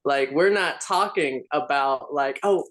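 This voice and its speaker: A man holding forth in a church discussion group in an animated, lecturing way, often checking in with "right?". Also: fairly high pitch, measured pace.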